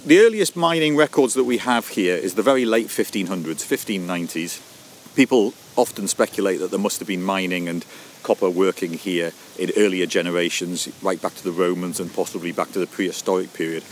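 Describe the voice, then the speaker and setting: Deep-voiced man explaining history. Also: slight background noise. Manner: measured, informative. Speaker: guest mining historian. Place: outdoors at old mine workings.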